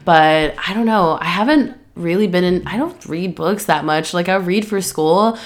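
A woman's voice: on the low side, normal speed. A young woman, slightly nasal and stuffy from allergies, talking through her plans on a solo podcast, rambling with lots of "um" and "like". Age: 20s. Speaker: podcast host. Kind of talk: casual solo podcast chat.

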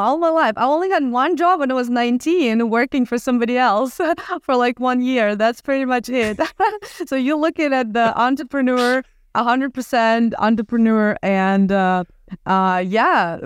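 A woman from Ukraine in her thirties with a Ukrainian accent, talking casually as a podcast guest with lively restarts and fillers. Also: a little high, moderate pace, clear, resonant tone, dry recording, studio quality. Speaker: guest entrepreneur. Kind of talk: casual interview talk.

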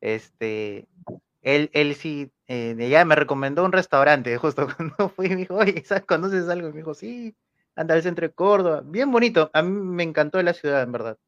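A man's voice: a little high, lively intonation, fairly bright tone. Chatty male host on dull lo-fi audio. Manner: friendly, chatty asides with quick acknowledgments. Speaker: podcast host. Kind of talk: casual interview chat.

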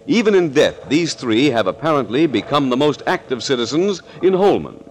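A man's voice: medium pitch, very animated, moderate pace. A man narrating a 1940s radio thriller in a dramatic, ominous, declamatory style.

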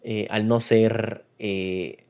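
Casual Mexican man, slightly low voice. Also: level tone, extremely slow, creaky, darkish tone, smooth. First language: Spanish. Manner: conversational, colloquial, retells scenes with acted-out quotes. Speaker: film podcast host and reviewer. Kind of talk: casual, unscripted film review.

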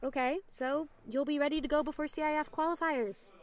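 Outspoken high school best friend played by a woman, high-pitched, indignant, conversational audio drama delivery. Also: fairly even intonation, fairly quick, close to an everyday voice. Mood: reassuring. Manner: casual, griping, emphatic. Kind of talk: naturalistic audio drama acting.

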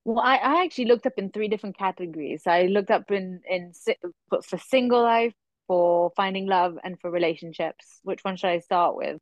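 A woman's voice: mid-range voice, a little fast, no echo. A woman in her thirties, a podcast host chatting casually in conversational discussion. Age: 30s.

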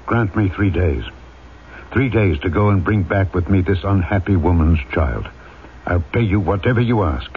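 An actor playing a grave, compassionate and resolute fugitive in an old-time radio drama, speaking solemnly, formally and earnestly. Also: male voice, low-pitched, normal speed, a little hiss or hum, close to an everyday voice. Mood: pleading, restrained. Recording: tinny, narrow-band old radio recording.

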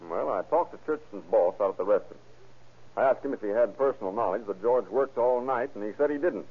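A man's voice: mid-range voice, lively intonation, a little fast, slightly rough. An actor playing a plain-spoken male police detective in an old radio crime drama. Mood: matter-of-fact. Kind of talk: 1930s radio acting. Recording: tinny, narrow old radio recording.

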